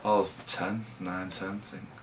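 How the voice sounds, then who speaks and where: A young man from England, a rugby league player giving low-key, halting interview answers. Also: flat delivery, noisy background.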